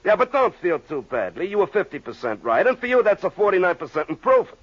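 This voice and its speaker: An actor playing an exasperated, needling police lieutenant in a 1950s radio drama. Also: male voice, sits high, big pitch swings, fast talker. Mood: smug. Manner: sardonic, needling. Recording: muffled old radio recording.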